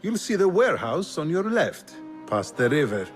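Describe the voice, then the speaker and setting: An actor playing a sly, self-assured informant in a video game, with an insinuating, bargaining manner. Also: male voice, a little high, big pitch swings, normal speed, faint music behind. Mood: sly, wheedling. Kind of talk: video game cutscene acting.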